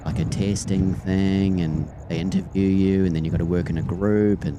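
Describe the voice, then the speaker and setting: A man with a New Zealand accent, an air traffic controller chatting as a guest on a headset, relaxed and rambling. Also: low voice, normal speed. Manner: relaxed, rambling, trails off and restarts. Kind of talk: casual chat. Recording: loud outdoor traffic noise.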